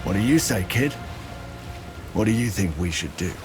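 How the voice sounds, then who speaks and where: Seasoned Roman centurion for a game: wry, commanding, animated delivery, music underneath. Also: male voice, low voice, normal speed. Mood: wry, prodding. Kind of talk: scripted video game acting.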